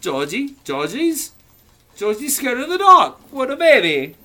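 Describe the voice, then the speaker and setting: A man's voice: very high-pitched, wildly swooping pitch, measured pace, pushed and bright, pitched above the performer's own voice, exaggerated voice. A man reading a story and voicing a mocking taunter who teases a scared child in an exaggerated sing-song. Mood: mocking, gleeful. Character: mocking taunter jeering at a scared little boy.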